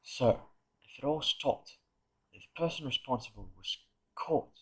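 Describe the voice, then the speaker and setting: A man's voice: mid-range voice, animated, measured pace, fairly bright tone, breathy edge. A man playing a polite, cold, controlled teenage wizard in an audio drama, speaking formally. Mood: deferential.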